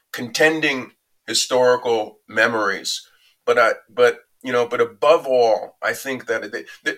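Bright-toned man, reflective interview guest. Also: mid-range voice, rough recording. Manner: reflective, anecdotal, measured. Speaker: interview guest recounting a reporting trip. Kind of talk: conversational interview answer.

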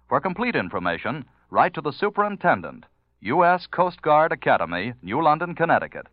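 A man's voice: medium pitch, no room sound. A man announcing in scripted 1940s radio style, formal and declamatory, on an old recording. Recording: dry, slightly dull old radio recording.

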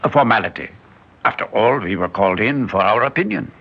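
An actor in an old-time radio drama playing a shrewd, wary, cynical doctor with a theatrical, insinuating delivery. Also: male voice, lowish voice, expressive, a little fast, creaky, husky, exaggerated voice. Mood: cynical, hushed. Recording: dull, muffled old radio recording.

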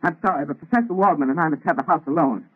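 A man playing an excitable, grandiose young scientist, urgent and declamatory, in old radio melodrama style. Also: a little high, racing, slightly rough. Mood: distracted urgency. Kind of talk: 1930s radio melodrama acting. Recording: tinny, scratchy old radio broadcast.

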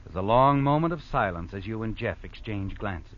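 A man's voice: lowish voice, expressive, normal speed, close to an everyday voice. A man acting as the ominous, insinuating narrator of an old-time radio mystery, addressing the schemer as you. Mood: suspenseful. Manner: dramatic, insinuating. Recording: muffled old radio recording with music.

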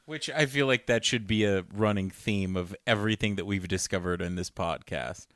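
Low, smooth-voiced male podcast co-host. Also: moderate pace, fairly bright tone, no echo, clean studio sound. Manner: laid-back, agreeable, brief replies. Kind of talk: casual chat.

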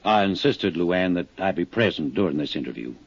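A man's voice: low-pitched, animated, brisk, slightly rough, no room sound, exaggerated voice. An actor playing a protective, suspicious, stubborn man in love in a 1950s radio drama, speaking insistently and defensively. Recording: dull 1950s radio recording.

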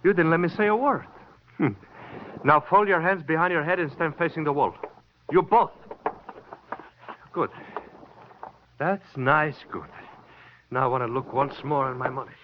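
A man's voice: a little high, normal speed, long pauses, slight background noise, natural voice. An actor playing a menacing, mocking Greek-accented gunman in a 1940s radio crime drama, speaking broken English. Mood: gloating menace. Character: menacing Greek gunman, gloating shooting-gallery owner. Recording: dull lo-fi old radio recording.

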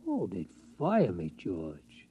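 Old folksy security guard, kinda hesitant n rambly, dull old radio recording. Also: male voice, sits low, huge pitch swings, breathy edge, full character voice. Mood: reluctant, flustered. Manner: rambling, halting, mild. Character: Charlie, aging, folksy, hesitant male night security guard. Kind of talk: 1950s radio drama narration and dialogue. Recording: muffled vintage radio recording with music.

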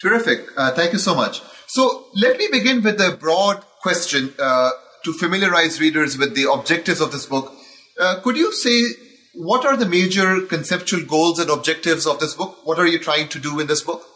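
A man's voice: on the high side, lively intonation, normal speed, pushed and bright. A man hosting an academic book interview, asking questions politely and formally with frequent uhs. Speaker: podcast host.

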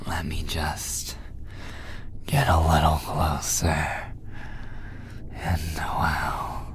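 A man performs as an obsessive, hungry vampire in a boyfriend ASMR roleplay. The voice sits very low and moves slowly, breathy and often sinking into a gloating, intimate whisper. A faint layer of ambient noise lies behind it. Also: exaggerated voice. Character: obsessive, possessive male vampire, starved and hungry for blood.

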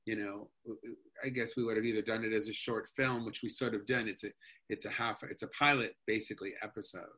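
A man's voice: lowish voice, steady tone, fast talker, bright, forceful tone. A man being interviewed as an actor, chatting casually and rambling with many restarts and fillers. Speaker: actor guest.

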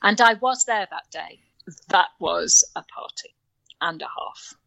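A woman's voice: measured pace, creaky, pushed and bright, no room sound. An older woman from North Wales, chatting as a guest and telling stories with lots of laughter. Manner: bubbly storyteller, laughs often and breaks into high squeals. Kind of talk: casual chat.

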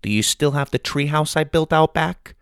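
A man voicing a feisty, indignant old grandmother, a sharp-tongued, bossy adventurer in a storybook. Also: a little high, normal speed, clean tone, no room sound, studio quality, pitched above the performer's own voice, lightly acted. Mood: wary. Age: older. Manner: exclamatory, teasing, bossy.

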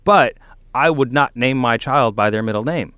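A man's voice: mid-range voice, normal speed, a bit bright, clear, resonant tone, no echo. A man co-hosting a casual podcast discussion, explaining his points as he thinks aloud. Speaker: podcast co-host.

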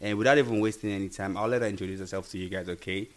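A man's voice: on the low side, fairly even intonation, racing. A man hosting an upbeat podcast interview, talking enthusiastically and chiming in with agreement. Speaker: podcast host.

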